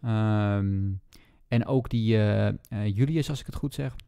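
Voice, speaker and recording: A man chatting casually about books as a podcast host, speaking haltingly and hedging as he thinks aloud. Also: lowish voice, creaky, dark tone, smooth, dry recording, studio quality. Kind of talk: casual book discussion.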